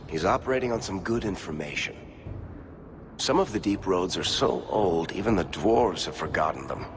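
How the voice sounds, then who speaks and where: Low-voiced, roguish smooth-talking dwarf. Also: male voice. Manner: wry, persuasive, teasing. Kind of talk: video game dialogue acting. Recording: game music behind the voice.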